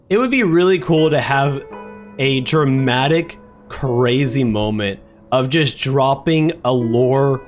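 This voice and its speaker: A man giving live reaction commentary on a video game, excitable and often repeating himself. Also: medium pitch. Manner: excitable, stammering repeats, chanting asides.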